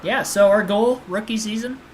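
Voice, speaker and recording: 20s guy streaming a racing game, high voice, chatty and hyped, ac hum in the back. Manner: chatty, excitable, quick reactions. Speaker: livestream host. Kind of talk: casual live gameplay commentary.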